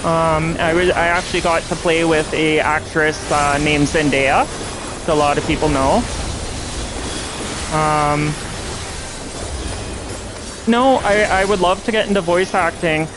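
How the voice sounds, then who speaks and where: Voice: mid-range voice, steady tone, a little slow, pauses often, background noise. A game streamer with a nasal voice, chatting casually while playing. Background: music.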